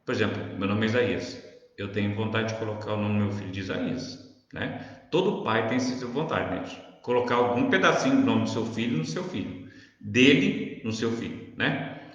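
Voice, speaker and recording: A man's voice: lowish voice, expressive, moderate pace. A man with a São Paulo Brazilian accent teaching the Bible informally, conversational and didactic, often checking that the listener follows. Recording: very echoey reverberant room, dull sound.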